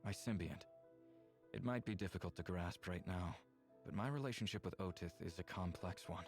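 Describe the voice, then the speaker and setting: Gentle, low, creaky-voiced alien man. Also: moderate pace. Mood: serious, wistful. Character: gentle, melancholy adult male alien neighbor. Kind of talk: visual novel voice acting. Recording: music under the voice.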